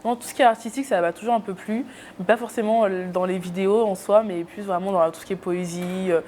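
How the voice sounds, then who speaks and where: A woman's voice: medium pitch, steady tone, quick pace, darkish tone, slight background noise. A young woman from Cameroon, a YouTuber guest in a casual interview, talking with many hesitations.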